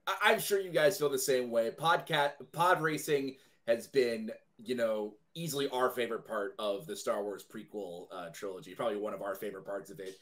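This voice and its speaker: A man's voice: mid-range voice, moderate pace, few pauses, fairly bright tone. A man chatting casually as a fan and guest on a podcast.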